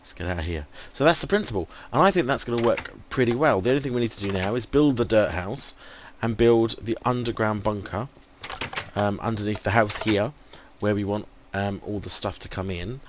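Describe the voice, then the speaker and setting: A man's voice: fairly low pitch, a little fast, a little hiss or hum. An English man commentating casually on his game, thinking aloud with many ums, while losing his voice. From England. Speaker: gaming video host. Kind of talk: casual let's play commentary.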